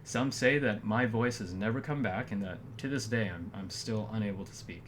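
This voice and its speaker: A man telling a rambling story to his viewers in a casual vlog, correcting himself often and slipping in wry deadpan jokes. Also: lowish voice, normal speed. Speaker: vlogger. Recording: noticeable background noise.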